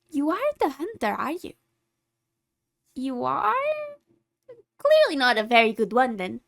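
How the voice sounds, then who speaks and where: A woman's voice: very high-pitched, full character voice. A woman playing a haughty, secretly caring tsundere vampire in a scripted ASMR roleplay, condescending and easily exasperated. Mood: amused, surprised. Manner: condescending, exasperated, flustered scolding with sighs.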